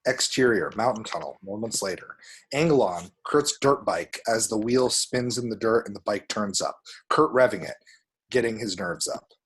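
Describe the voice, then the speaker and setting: A man narrates the action lines of a screenplay at a table read. His voice sits fairly low and has a husky, unpolished grain. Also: moderate pace, rough recording.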